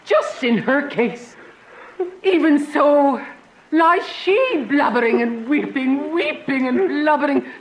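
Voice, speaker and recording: Woman acting a high, lamenting, fretful nurse. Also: very animated, takes it slowly, exaggerated voice, low-quality recording. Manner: wailing, repetitive, theatrical. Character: fretful, lamenting female nurse, loyal servant. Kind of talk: Shakespearean radio drama acting. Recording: clear background noise with ambience.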